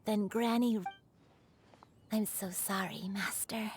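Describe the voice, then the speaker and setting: A woman voicing a gentle, doting granny in a video game, speaking in a tender, soothing way. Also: mid-range voice, steady tone, takes it slowly, slight background noise, natural voice. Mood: tender, bittersweet. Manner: tender, soothing, breaking into hushed delivery. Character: gentle, doting granny, a crane in human form.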